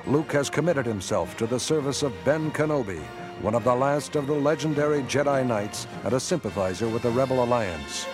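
A man narrating an epic space saga for a radio drama in a measured, solemn storytelling style. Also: mid-range voice, lively intonation, music in the background, lightly acted. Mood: solemn, grave.

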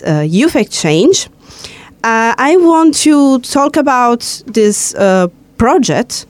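A woman's voice: mid-range voice, a little mellow, dry recording. A woman from Italy, hosting a casual interview in a chatty, playful way. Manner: chatty, playful, laughs and teases guests. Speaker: host. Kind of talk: casual radio-style interview.

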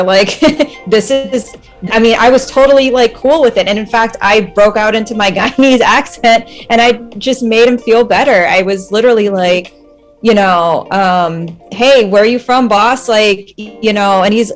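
A woman who works as a dentist, speaking earnestly and reflectively in a casual group discussion, with laughs between points. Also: fairly high pitch.

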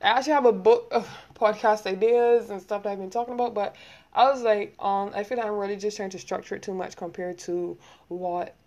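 A young woman with a Barbadian accent talking quickly and rambling, hosting a casual solo podcast and laughing often. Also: mid-range voice, fairly even intonation, a little mellow, lo-fi sound. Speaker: podcast host.

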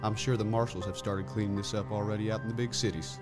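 A man's voice: lowish voice, fairly even intonation, fast talker, music in the background, lightly acted. A man playing a western traveler in a search party in an audio drama. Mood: matter-of-fact.

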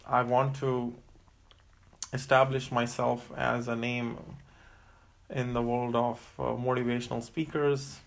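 A man's voice: medium pitch, little pitch movement, a little slow, creaky. A young man from India, co-hosting a casual podcast and chatting in a teasing, self-assured way. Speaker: podcast co-host. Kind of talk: casual chat.

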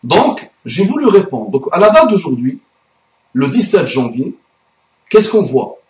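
A man's voice: fairly high pitch, big pitch swings, measured pace, darkish tone. Guy ranting about religion on his channel, emphatic, echoey room. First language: Arabic. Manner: emphatic, indignant. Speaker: YouTube commentator. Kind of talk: religious commentary monologue. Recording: roomy echo.

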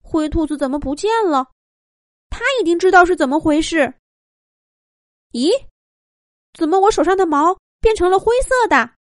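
A woman's voice: very high voice, normal speed, long pauses, no echo, studio quality, pitched above the performer's own voice. A woman voicing a timid little boy rabbit in a children's story, asking hesitant, wondering questions. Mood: bewildered.

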